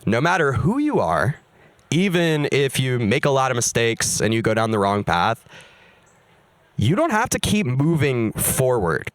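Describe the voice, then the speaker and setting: A man's voice: mid-range voice, fairly bright tone, no echo. Candid, wry young man narrating. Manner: candid, wry and self-mocking. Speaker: streamer and musician. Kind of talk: personal narration to camera.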